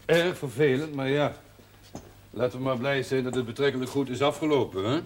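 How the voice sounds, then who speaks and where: An actor playing a calm, matter-of-fact village doctor in a television drama, speaking in a measured, instructing way. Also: male voice, medium pitch, lightly acted. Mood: reassuring. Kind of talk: naturalistic television drama acting. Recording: slightly noisy old TV soundtrack.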